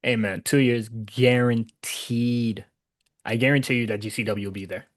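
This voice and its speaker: A man's voice: mid-range voice, steady tone, normal speed. A man co-hosting a wrestling podcast in casual chat, laid-back and profane, laughing often. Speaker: podcast co-host.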